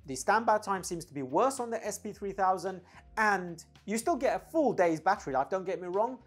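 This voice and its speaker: A woman's voice: medium pitch, animated, fairly quick, husky, soft background music. A woman giving a casual, opinionated gear review, speaking emphatically as a reviewer. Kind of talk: casual product review talk.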